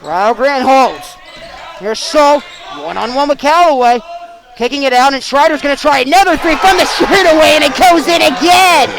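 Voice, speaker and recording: A man's voice: very high-pitched, moderate pace, gravelly, low-quality recording. A young man working as a sportscaster, giving emphatic, projected sports broadcast commentary. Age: young adult. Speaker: sportscaster, play-by-play announcer.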